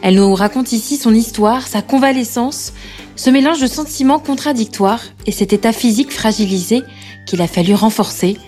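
A French woman who hosts a podcast, reading a scripted intro and then asking questions gently with some hesitation. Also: on the high side, moderate pace, soft background music. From France. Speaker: podcast host and journalist.

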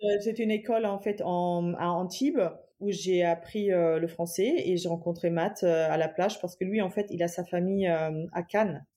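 A woman's voice: on the low side, steady tone, normal speed, hardly any pauses, warm tone. A woman in her late thirties, from Germany with German as her first language, speaking French in a casual interview with frequent hesitations. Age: 30s. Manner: hesitant, many fillers and restarts, light laughs. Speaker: guest, entrepreneur.

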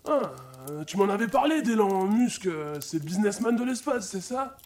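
An actor playing a grumpy, bumbling, old-fashioned Santa Claus who talks colloquially and hesitantly in a comedic audio drama. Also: male voice, high voice, lively intonation, normal speed, a little mellow, a little hiss or hum. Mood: grumbling, baffled. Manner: colloquial, hesitant grumbling, easily swayed. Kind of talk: comedic audio fiction acting.

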